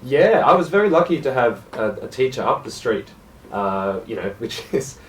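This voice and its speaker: A man's voice: mid-range voice, big pitch swings, normal speed, fairly bright tone, echoey room, far from the mic, slight background noise, rough recording. A young Australian man, a jazz musician, talking casually and self-deprecatingly in a radio interview, with many hesitations. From South Gippsland, Australia.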